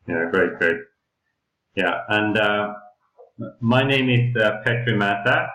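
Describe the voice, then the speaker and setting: A man's voice: lowish voice, slow, sharp tone, echoey room. A man from Sweden co-hosting a coaching webinar, talking casually with many fillers and checking in with "yeah?". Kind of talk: casual presentation.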